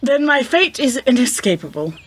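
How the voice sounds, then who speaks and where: A woman playing a wistful, distressed genteel lady with formal, measured phrasing in a radio play. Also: sits high, big pitch swings, breathy edge, exaggerated voice. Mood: troubled, resigned.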